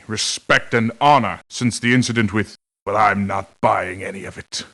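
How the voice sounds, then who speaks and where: An actor playing a dignified, proud doctor turned mercenary in a video game, speaking in formal, stern phrases. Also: male voice, medium pitch, a bit bright, close to an everyday voice. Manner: formal, measured wording, reproachful. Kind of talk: video game voice acting, short scripted lines.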